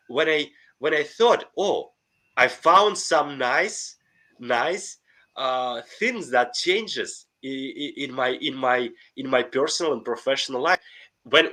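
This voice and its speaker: A man's voice: a little high, sharp tone. A middle-aged man with a Russian accent, speaking earnestly and enthusiastically as a podcast guest, often restarting his sentences. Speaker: guest, life coach. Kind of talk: podcast interview.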